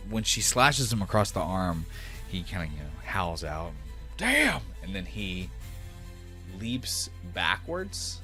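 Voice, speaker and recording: Animated, deep-voiced male game master. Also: takes it slowly, soft background music. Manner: casual, animated, exclamatory. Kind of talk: improvised tabletop game narration.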